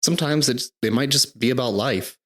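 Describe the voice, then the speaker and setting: A man in his thirties co-hosting a casual podcast, talking in a rambling way with lots of fillers and a slightly hoarse throat. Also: medium pitch, a little fast, fairly bright tone. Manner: rambling, filler-heavy, restarts often. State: slightly hoarse, recovering from illness. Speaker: podcast co-host. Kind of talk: casual chat.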